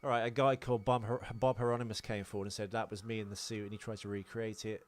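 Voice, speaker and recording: A man's voice: on the low side, little pitch movement, fast. A man co-hosting a casual film podcast, explaining things in a rambling, sweary way. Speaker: podcast co-host.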